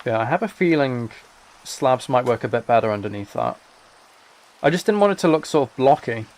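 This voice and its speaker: A man's voice: mid-range voice, expressive, fairly quick, darkish tone, slight background noise. A man chatting casually as a live streamer, thinking aloud while he plays a game. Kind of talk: casual gaming livestream chat.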